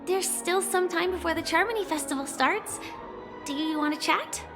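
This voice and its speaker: Game heroine: young female fugitive, high-pitched, soft-spoken, earnest, music underneath. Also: moderate pace. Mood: friendly, eager. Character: gentle young woman fugitive, earnest, wistful and guarded. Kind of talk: dramatic video game voice acting. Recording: clear music behind the voice.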